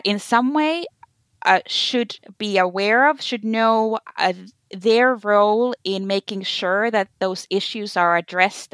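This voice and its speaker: A woman's voice: medium pitch, a bit bright, clear, resonant tone, no room sound. Hesitant woman, searching for words. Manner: hesitant, many fillers and restarts, searching for words. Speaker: conference organizer, guest. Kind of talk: conversational interview answers.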